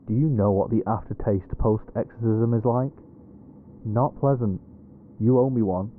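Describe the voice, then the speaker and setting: A man's voice: on the low side, measured pace, narrow, tinny audio, a little hiss or hum, lightly acted. A man playing a cocky, defensive ghost hunter in an audio roleplay, talking in a wry, sweary, conversational way. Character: Reggie, cocky defensive male ghost hunter, quick with excuses.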